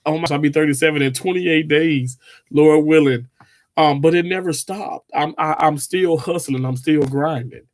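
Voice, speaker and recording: A man's voice: fairly high pitch, steady tone, moderate pace. A man, chatting casually with viewers on a livestream, rambling with lots of fillers and restarts. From Mississippi, US. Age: 30s. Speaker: livestream host.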